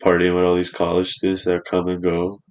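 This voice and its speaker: A man's voice: sits low, monotone, fairly quick, darkish tone, smooth. A man in his twenties chatting casually on a podcast in a studio, rambling and swearing freely. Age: 20s.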